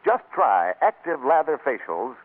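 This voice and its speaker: A man's voice: mid-range voice, big pitch swings, measured pace. A man announcing for a sponsor in a polished, scripted 1940s radio style, on a tinny old recording. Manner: polished sales delivery.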